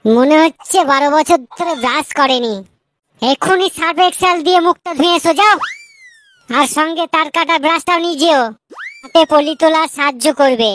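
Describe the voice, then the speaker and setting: A man voicing a cheeky young prankster in a cartoon, high and taunting. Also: fairly quick, a bit bright. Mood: mocking. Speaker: cartoon character.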